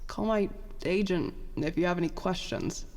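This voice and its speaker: Woman as an anxious, expressive young petty officer. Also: lowish voice, vocal fry. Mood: wry, weary. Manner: halting, muttering, self-conscious. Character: anxious, self-deprecating young female petty officer, rambling and sarcastic. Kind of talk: science fiction audio drama. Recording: long cave-like reverb.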